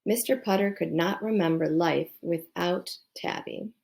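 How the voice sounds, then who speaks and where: A woman's voice: fairly low pitch, unhurried, vocal fry, darkish tone. A woman reading a children's bedtime story aloud. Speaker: storybook reader.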